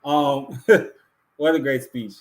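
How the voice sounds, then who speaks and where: A man's voice: medium pitch, very slow, low-quality recording. A man casually hosting a live awards show and announcing finalists and winners.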